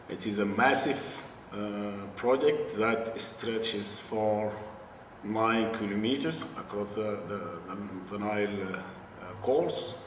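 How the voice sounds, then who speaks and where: Slow, hesitant man from northern Sudan. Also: fairly low pitch, roomy sound, in a large hall, recorded from a distance, a little hiss or hum. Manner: hesitant, many filler pauses and repeated words. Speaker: interviewee, affected community member. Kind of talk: slow deliberate explanation.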